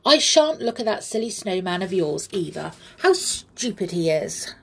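A woman voicing a big, naughty, selfish girl doll in a children's storybook, huffy and boastful, with a husky voice. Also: fairly low pitch, very animated, moderate pace, full character voice.

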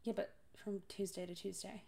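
A young woman talking in a relaxed podcast chat, her voice a little congested and stuffy from allergies. She is chatty and laughs easily, bantering like a sister. Her pitch sits in a middling range. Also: normal speed, gravelly, over a noisy crowd. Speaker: podcast co-host. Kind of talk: casual chat.

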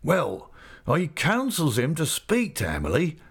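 Jubilant old fisherman, rustic dialect, lively and raised, read by a man. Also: fairly high pitch, a little slow, breathy edge, voice pushed up, exaggerated voice. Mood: earnest. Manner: broad rustic dialect, excited.

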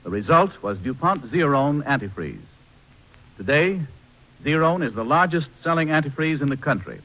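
A man's voice: fairly high pitch, expressive, normal speed, bright, forceful tone, low-quality recording. A man with an American accent reading a scripted 1940s radio advertisement as a commercial announcer.

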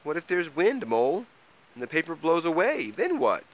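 Man putting on a whiny, high-pitched vain troll. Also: huge pitch swings, moderate pace, lo-fi sound. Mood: fretful. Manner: whiny, dramatic complaining. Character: vain, fussy, fretful hairy male troll.

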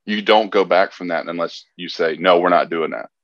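A man's voice: deep voice, a bit bright, no echo, dull audio. A man from the United States, a guest in a casual podcast chat, who talks earnestly and keeps restarting his sentences. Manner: halting, restarts often, earnest.

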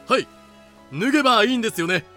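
A man's voice: very high-pitched, expressive, fast talker, pushed and bright, exaggerated voice. A man acting a timid, grumbling everyman slave up for sale, with hesitant, wry retorts in a fan-made voice drama. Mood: flustered. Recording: music behind the voice.

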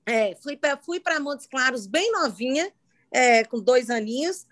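A woman's voice: high-pitched, lively intonation, measured pace, fairly bright tone. A middle-aged woman from Minas Gerais, Brazil, with a faint Minas Gerais accent, hosting a podcast in casual chat, talking chattily with frequent restarts. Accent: faint Minas Gerais Brazilian Portuguese. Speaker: podcast host.

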